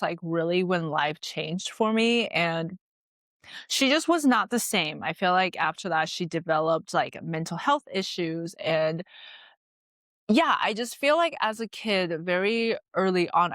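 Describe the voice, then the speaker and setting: A woman in her late twenties, born and raised in Taiwan, talks through her past in a loose, chatty podcast voice, leaning on "like" as she reflects. Her voice sits a little low for a woman. The recording is dry and close, with no room sound. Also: a bit bright, no echo. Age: late 20s. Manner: chatty, frequent "like" fillers, reflective. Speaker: podcast host. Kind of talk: casual personal storytelling.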